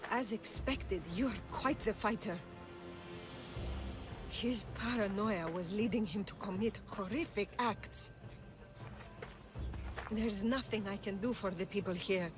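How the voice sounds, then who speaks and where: A woman playing a composed, earnest village doctor in a video game drama, measured and polite in manner. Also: mid-range voice, over music, natural voice. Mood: cool, reproachful.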